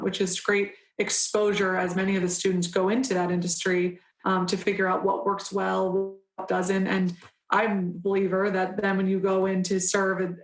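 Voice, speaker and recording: A young adult woman council member answering interview questions in an earnest, measured way, with frequent pauses and restarts. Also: mid-range voice, roomy sound, rough recording.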